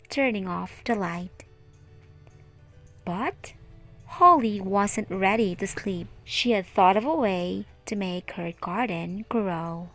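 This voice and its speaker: A woman teacher narrating a children's storybook aloud in a deliberate, expressive way. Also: medium pitch. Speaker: teacher reading stories aloud.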